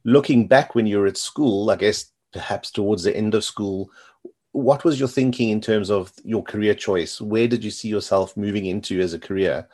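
A man's voice: lowish voice, moderate pace, dark tone. A middle-aged man hosting a podcast, asking long rambling questions full of "you know". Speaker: podcast host. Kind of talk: interview questions.

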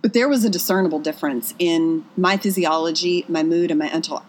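A woman with a notably low voice speaks earnestly, reflecting on her own life. She is from Pennsylvania and tells her story as a guest in a candid, personal way. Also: brisk, slight background noise, poor audio. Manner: earnest, reflective, speaks with frequent "I was just like".

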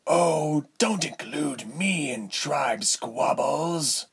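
A man voicing a condescending villain lord in a fantasy story, measured and smooth in manner with a breathy, husky tone. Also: mid-range voice, animated, voice pushed up, full character voice. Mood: coolly scornful. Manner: measured, silky, haughty.

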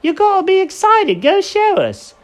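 A squeaky, wide-eyed little storybook sidekick who fires off question after question. The voice sits far above a normal speaking pitch and swoops around wildly. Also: male voice, wildly swooping pitch, moderate pace, heavily acted. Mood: excited.

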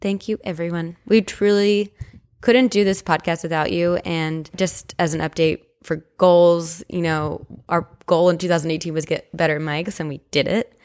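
A woman's voice: on the low side, steady tone, moderate pace, a little mellow. A woman co-hosting a podcast in a casual, chatty style. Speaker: podcast co-host. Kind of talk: casual chat.

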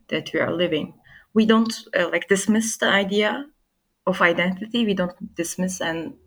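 Brief: young woman from Turkey, lowish voice, reflective guest who searches for words. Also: fairly bright tone. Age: young adult. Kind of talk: reflective opinion talk.

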